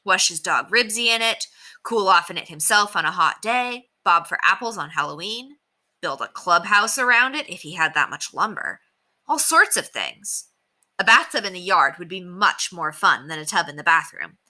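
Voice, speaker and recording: A woman reading a children's book aloud as narrator, expressive and emphatic. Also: lowish voice, normal speed. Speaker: storybook reader.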